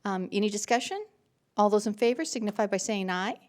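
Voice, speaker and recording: A woman's voice: mid-range voice, animated, fairly quick. A woman serving as mayor, chairing a city council meeting and calling motions and votes in a procedural way.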